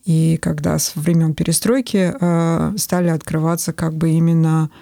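A woman in her forties from Estonia, talking in a thoughtful, measured way with many pauses on a podcast. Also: low voice, level tone, vocal fry, dark tone, no room sound, studio quality. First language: Russian. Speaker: podcast guest. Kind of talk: reflective podcast conversation.

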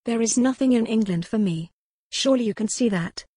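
A woman's voice: fairly high pitch, a little fast, dark tone, slightly rough, no echo, clean studio sound, voice pushed up. A defiant young noblewoman, read by a woman. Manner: spirited, insistent speech. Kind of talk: audiobook character voice.

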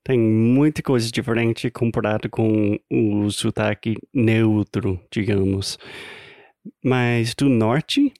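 A man's voice: on the low side, steady tone, darkish tone, dry recording, clean studio sound. A man co-hosting a casual language podcast, speaking careful, slightly hesitant Portuguese as a non-native speaker. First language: not Portuguese. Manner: slow, careful learner's Portuguese. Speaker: podcast co-host.

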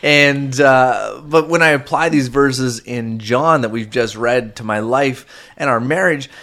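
A man's voice: mid-range voice, measured pace, fairly bright tone. A man co-hosting a faith-based marriage podcast, talking earnestly with frequent restarts and fillers. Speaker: podcast co-host.

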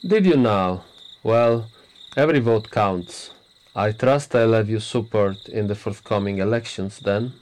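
An actor playing a pompous, smug prime minister in a radio play, lecturing with boastful self-importance. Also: male voice, on the low side, unhurried, a little mellow, close to an everyday voice. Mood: smarmy. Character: pompous arrogant male prime minister, middle-aged. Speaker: politician.

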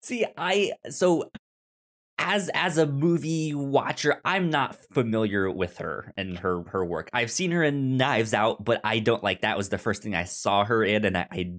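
A man co-hosting a casual film podcast, speaking haltingly and often stuttering over repeated words. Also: mid-range voice, a bit bright. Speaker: film review podcast co-host. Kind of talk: casual chat.

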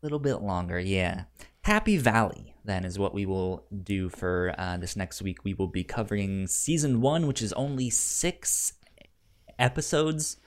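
A man co-hosting a casual review podcast, with a stutter that repeats sounds and a halting, easygoing delivery. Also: lowish voice, expressive. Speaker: podcast co-host.

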